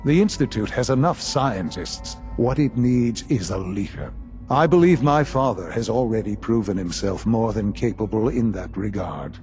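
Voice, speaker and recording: An older, authoritative head of a secretive institute in a game drama. The voice is low and mellow, delivered in measured, formal phrases with deliberate pauses, over faint music. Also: male voice, expressive. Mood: firm. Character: grave older male leader of a secretive science institute, dying.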